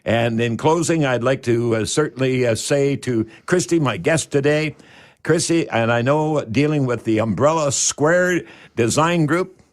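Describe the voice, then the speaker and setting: A man hosting a radio advice show on home repair, speaking in a halting, folksy way and repeating words for emphasis. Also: medium pitch.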